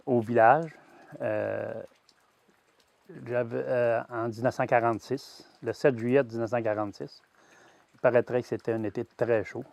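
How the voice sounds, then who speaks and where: A Québécois man in his sixties tells his story in a plain, middle-pitched voice, halting now and then on fillers and false starts. The sound is dry and close, with no room echo. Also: gravelly, darkish tone. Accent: Québécois French. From Quebec. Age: 60s. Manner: hesitant, many "euh" fillers and restarts. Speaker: grain-fed veal farmer. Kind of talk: personal testimony.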